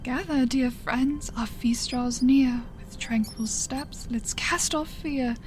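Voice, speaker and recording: Woman acting slow, high-voiced solemn mystic adventurer. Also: slight background noise. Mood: eerie incanting. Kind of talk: dramatic fantasy horror audio role-play. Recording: loud music behind the voice.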